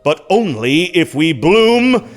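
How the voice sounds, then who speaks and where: A man's voice: a little high, very animated, slow, soft background music. A man playing a cunning, pompous mold spore orator bent on conquest, in a comic audio drama. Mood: scheming, grandiose. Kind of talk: theatrical audio comedy drama.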